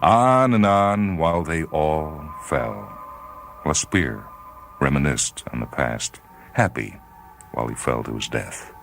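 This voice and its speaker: A man reading a short story as dramatic narration, grave and measured, with theatrical emphasis. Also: extremely low pitch, lively intonation, gravelly, fairly bright tone, faint music behind. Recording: faint noise and ambient sound bed. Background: faint ambient sound.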